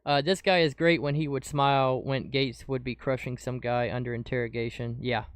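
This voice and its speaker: Excitable man, dry close mic. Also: medium pitch, normal speed, clear, resonant tone, dry recording. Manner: excitable, says "dude" often. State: losing his voice, getting sick. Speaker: podcast host reacting to news. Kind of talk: casual commentary over clips. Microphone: close desk mic.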